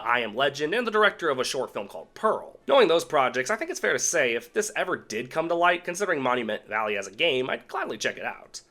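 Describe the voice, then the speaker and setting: A man's voice: medium pitch, pushed and bright. A man hosting an online video essay, giving sarcastic, irreverent commentary with casual swearing. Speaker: video host. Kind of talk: video essay commentary on cancelled game movies.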